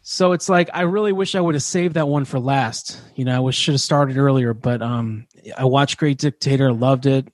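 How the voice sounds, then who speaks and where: A man's voice: medium pitch, normal speed, hardly any pauses, dry recording. Chatty man, dry close sound. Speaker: podcast host. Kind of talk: casual conversational interview.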